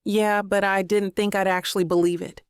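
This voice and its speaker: A woman's voice: mid-range voice, flat delivery, normal speed, clean tone, no echo, clean studio sound. A woman reading a first-person romance audiobook as scripted narration.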